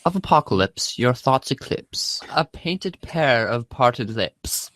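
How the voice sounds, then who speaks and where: A man's voice: medium pitch, a bit bright, no echo. A man reading the part of an arch, teasing, bookish teenage girl in a comic script reading, mock-formal and poetic.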